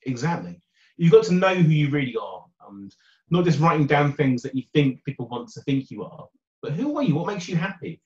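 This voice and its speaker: A British man in a dating coach interview, with a loud voice and an upbeat, chatty way of talking. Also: a little high, big pitch swings, a little fast. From United Kingdom. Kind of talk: conversational interview answers. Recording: roomy, dull remote audio.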